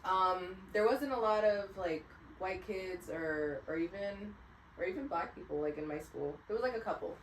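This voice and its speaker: Low-voiced woman in a roomy space. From United States. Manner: relaxed, rambling, many "um" pauses. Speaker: podcast co-host. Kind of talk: casual chat. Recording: roomy echo, mic at a distance, faint hiss.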